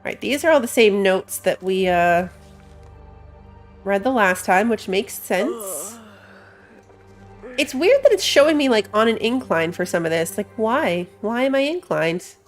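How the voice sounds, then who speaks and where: A woman streams a game with casual, chatty commentary. Her voice sits at a medium pitch for a woman. She thinks aloud as she plays, talking informally to those watching. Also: expressive, a little slow, soft background music. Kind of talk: casual live gameplay commentary.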